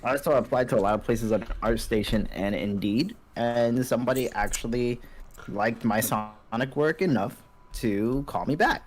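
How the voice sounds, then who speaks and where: A man's voice: medium pitch, moderate pace. A man chatting casually as an artist co-hosting a game development stream. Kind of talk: casual chat. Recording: music under the voice. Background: background music.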